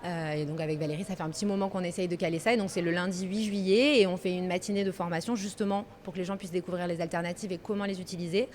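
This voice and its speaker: A woman's voice: fairly low pitch, fairly even intonation, rapid-fire, few pauses, darkish tone, slight background noise. A woman in her thirties from Marseille, a pastry workshop manager, talking easily and a little hesitantly in a casual interview. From Marseille, France. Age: 30s. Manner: easygoing, hesitant, thinks aloud.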